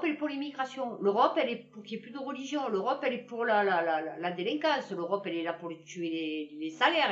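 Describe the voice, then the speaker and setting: A woman's voice: fairly high pitch, reverberant, recorded from a distance, muffled recording. A woman from Marseille with a Marseille accent, ranting in a casual, blunt and slangy way and laughing at her own jokes. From Marseille, France. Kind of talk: casual political rant to camera.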